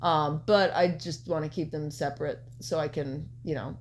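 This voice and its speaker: A woman's voice: sits low, fairly even intonation, moderate pace, a little hiss or hum. Young woman hosting a podcast, stuffed up and sniffly, swears a lot, rambles w/ ums. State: congested, coughing, sniffly. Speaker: podcast host. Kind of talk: casual podcast chat.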